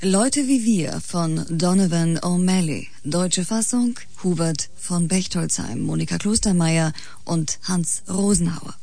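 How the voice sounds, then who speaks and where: Low, creaky woman radio announcer. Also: unhurried, hardly any pauses, warm tone, dry recording. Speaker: radio announcer reading credits. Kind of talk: scripted broadcast announcement. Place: dry radio studio.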